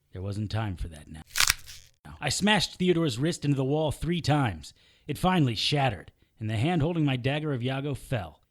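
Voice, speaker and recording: A man playing a tough, sardonic fighter who tells his battles in first person in a noir audio drama. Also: medium pitch. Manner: sardonic, gritty. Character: tough, wry immortal male fighter narrating his own battles.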